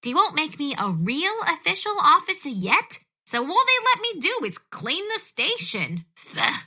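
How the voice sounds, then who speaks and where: A woman playing a chatty, put-upon police trainee in a comedic audio drama, brisk, emphatic and wryly grumbling. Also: high-pitched, huge pitch swings, pushed and bright, full character voice.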